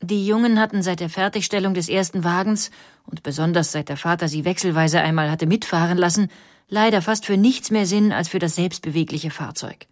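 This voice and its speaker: A woman playing a fondly exasperated 19th-century inventor's wife and mother, recalling family life in measured, formal storytelling for an audio feature. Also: lowish voice, fairly even intonation, no echo, clean studio sound, close to an everyday voice. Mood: mildly exasperated. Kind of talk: scripted first-person recollection in an audio feature.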